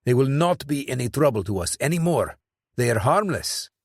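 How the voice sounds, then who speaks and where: A man's voice: mid-range voice, very animated, normal speed, husky, no echo, studio quality, pitched above the performer's own voice. A man voicing a nervous, blustering male hired killer who stumbles defensively through his explanations.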